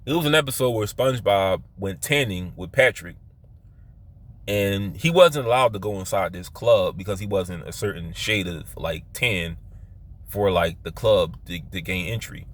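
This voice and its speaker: A man with a Philadelphia accent telling personal stories casually, halting and often circling back to repeat himself. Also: low voice, expressive. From Philadelphia, United States. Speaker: vlogger telling his life story. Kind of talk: casual rambling personal storytelling.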